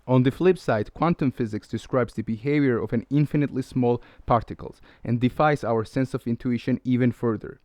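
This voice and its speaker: Guy narrating a video essay, lecture tone, mid pitch, dry close sound. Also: a little mellow, no echo. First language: Georgian. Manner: measured, lecturing. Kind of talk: scripted narration.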